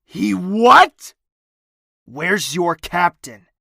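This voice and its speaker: An actor playing a young prince in an audio drama, speaking in a measured, formal way. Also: male voice, high-pitched, lively intonation, creaky, pushed and bright, no echo, studio quality, full character voice. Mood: angry, commanding. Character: young prince, tender in private, commanding with subordinates.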